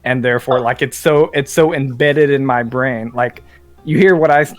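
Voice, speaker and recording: A man with a slight Southern accent, a game master chatting as a guest on a podcast, mostly even in delivery. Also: mid-range voice, normal speed, soft background music. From Southern United States. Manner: fairly even delivery, gets livelier with laughs. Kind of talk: casual podcast discussion.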